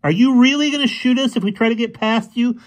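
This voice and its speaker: A man plays a plucky storybook boy, pitched up and forceful. He talks in a snappy, defiant way with a sarcastic, taunting edge. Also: lively intonation, moderate pace, slightly rough. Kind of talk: audiobook character voice.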